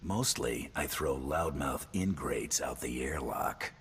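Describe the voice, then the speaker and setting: A tough team leader from a video game, speaking in a rumbling, very deep bass with lively inflection. His delivery is clipped, dry and sardonic, quietly threatening. There is faint noise in the background. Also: takes it slowly, full character voice. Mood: dry threat. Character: hard-bitten male team leader, dryly threatening tough guy. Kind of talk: video game character acting.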